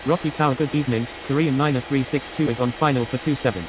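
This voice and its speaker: Woman playing female airline pilot, very deep, businesslike, scripted radio calls, flight game, clear hiss. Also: fairly even intonation, fast.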